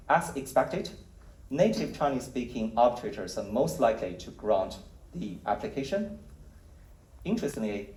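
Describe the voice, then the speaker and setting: A man presenting survey results at a conference, speaking in a measured, explanatory way with occasional fillers. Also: medium pitch, darkish tone. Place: conference hall. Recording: roomy hall audio, mic at a distance.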